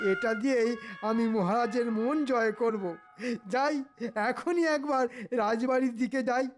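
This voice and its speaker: A man's voice: very high voice, slow pace. A man voicing a scheming, greedy cartoon minister in an oily, wheedling, theatrical way. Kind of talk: exaggerated comic cartoon acting. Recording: music behind the voice.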